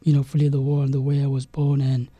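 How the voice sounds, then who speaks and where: Young man from South Sudan, halting. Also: medium pitch, monotone, warm tone, breathy edge, dry recording. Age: young adult. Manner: halting, many restarts and "you know". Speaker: guest, game developer. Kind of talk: interview storytelling.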